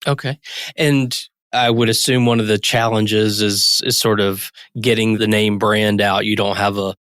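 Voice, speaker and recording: A man's voice: on the low side, level tone, unhurried, a bit bright, smooth, no room sound, studio quality. A man hosting a podcast, giving introductions and putting questions to a guest. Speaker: podcast host and interviewer. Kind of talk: scripted introduction and interview questions.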